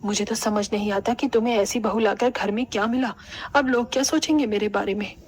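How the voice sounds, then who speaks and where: A woman's voice: medium pitch, fairly even intonation, brisk, close to an everyday voice. A woman voicing a haughty, scornful middle-aged mother-in-law in a cartoon, proud of being fashionable. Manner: scolding, disdainful.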